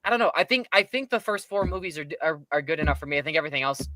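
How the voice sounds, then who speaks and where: A man's voice: on the high side, expressive, racing, bright, forceful tone, no echo. A man co-hosting a podcast, chatting informally about films and often repeating himself. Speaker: podcast co-host. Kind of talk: casual chat about films.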